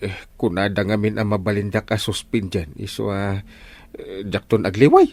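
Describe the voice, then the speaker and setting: An actor with an Ilocano accent playing a flustered, self-important village captain who stammers and blusters in a radio comedy drama. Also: male voice, lowish voice, normal speed, a little mellow. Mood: rattled, sheepish.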